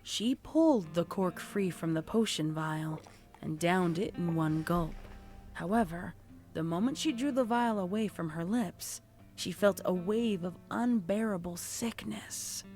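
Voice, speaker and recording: A woman's voice: fairly low pitch, measured pace, a little mellow, faint music behind. A woman reading scripted fiction as a storybook narrator. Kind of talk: scripted audiobook-style horror fan fiction narration.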